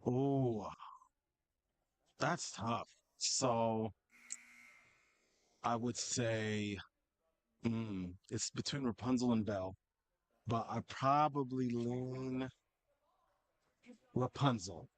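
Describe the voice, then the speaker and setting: A man's voice: mid-range voice, steady tone, drawn-out words, long pauses. Easygoing man in sports banter. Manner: easygoing, thoughtful, brief remarks. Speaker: color commentator. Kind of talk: casual sports commentary banter.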